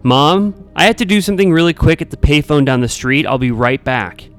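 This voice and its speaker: A man playing an earnest, dutiful, nervous teenage boy in a story, eager and hurried. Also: on the high side, clear, resonant tone, faint music behind, voice pushed up, close to an everyday voice. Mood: urgent. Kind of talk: storytelling character voice.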